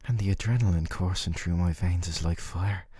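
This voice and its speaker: Gentle yandere dating-sim boyfriend, played by a male actor: low-pitched, clingy and possessive, intimate ASMR delivery, very dry close sound. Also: normal speed, breathy edge, dry recording. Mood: obsessive, intense. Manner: tender, coaxing, clingy.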